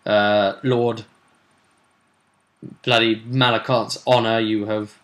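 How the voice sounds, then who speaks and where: A man's voice: on the low side, little pitch movement, takes it slowly, fairly bright tone. A man voicing the haughty, pompous retainer of an offended lord in a retold role-play game. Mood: indignant.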